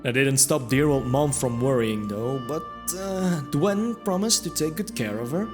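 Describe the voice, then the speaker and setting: A man's voice: mid-range voice, lively intonation, a little slow. A man narrating a first-person fantasy audio drama in a reflective storytelling manner. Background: music.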